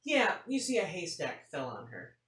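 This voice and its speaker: A woman's voice: fairly low pitch, wildly swooping pitch, normal speed, a bit bright. A woman voicing a nonchalant, matter-of-fact schoolgirl telling her tale in a picture-book read-aloud. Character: nonchalant schoolgirl recounting an unbelievable farm trip. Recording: roomy, faint noise, distant mic.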